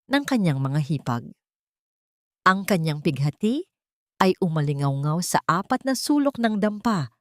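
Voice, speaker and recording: Low-voiced Filipino woman narrating drama. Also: darkish tone, dry recording, clean studio sound. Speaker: story narrator. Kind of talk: scripted dramatic narration of an emotional Tagalog story.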